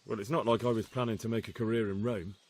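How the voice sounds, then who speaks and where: An actor playing an earnest young medieval spy in a game, plain-spoken and respectful. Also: male voice, fairly low pitch, flat delivery, quick pace, a little mellow. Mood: guarded. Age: young adult. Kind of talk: video game cutscene acting.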